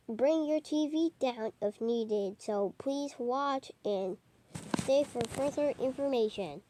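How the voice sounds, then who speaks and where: A woman reading a homemade mock emergency broadcast in a halting, improvised way with stumbles. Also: fairly high pitch, dark tone, slight background noise. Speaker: narrator of a homemade emergency alert scenario.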